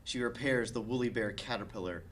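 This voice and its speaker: A man's voice: medium pitch, fairly quick. A man reading stage directions as the narrator of a comedic script table read.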